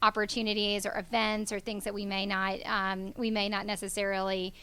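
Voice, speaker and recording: A woman's voice: mid-range voice, little pitch movement, a little fast, pushed and bright, clean tone. A young woman from Georgia in the US, a company founder speaking earnestly as an interview guest, with many ums and you knows. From Georgia, USA. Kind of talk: business interview.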